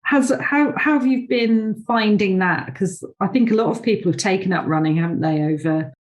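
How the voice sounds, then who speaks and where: A woman's voice: medium pitch, moderate pace. A woman hosting a podcast interview over a video call, talking in a chatty, rambling way with many fillers. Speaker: podcast host, awards founder. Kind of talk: conversational interview.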